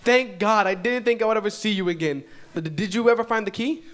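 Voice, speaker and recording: A man's voice: high voice, fairly quick, fairly bright tone. A man reading the part of a frightened, stammering teenage boy who was held captive, at a live table read. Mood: frantic relief. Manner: nervous, stammering and pleading. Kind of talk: live table read of a horror comedy script.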